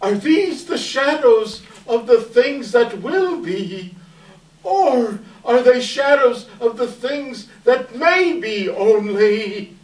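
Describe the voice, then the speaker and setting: In a roomy, echoing hall, picked up from a distance, a frightened old miser played by a man pleads with a spirit. He speaks slowly and deliberately, imploring as in a staged reading. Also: very high-pitched, lively intonation, full character voice. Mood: fearful, pleading. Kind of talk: readers theater performance. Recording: echoey hall, far from mic.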